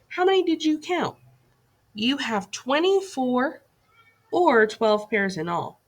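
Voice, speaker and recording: A woman teacher reading a scripted lesson aloud for young children in an instructive way, putting questions to her listeners. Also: mid-range voice.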